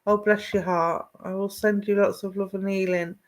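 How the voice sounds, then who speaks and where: A woman's voice: medium pitch, flat delivery, normal speed. Woman, psychic medium on a live stream, affectionate and chatty, addresses each viewer by name. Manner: affectionate, calls viewers "my darling"; greets and addresses viewers by name, asks if things make sense. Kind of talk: live online psychic reading.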